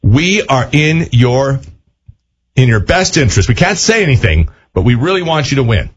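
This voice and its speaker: A man's voice: mid-range voice, very animated, moderate pace, husky, muffled recording. A man hosting a radio game show, teasing and encouraging a caller. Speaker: radio host.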